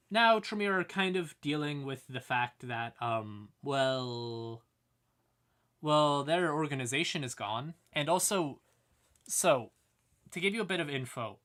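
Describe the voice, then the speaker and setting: A man's voice: a little high, sharp tone. A man hosting a livestream and explaining fantasy lore in a casual, irreverent, sweary, conversational way. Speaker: livestream host.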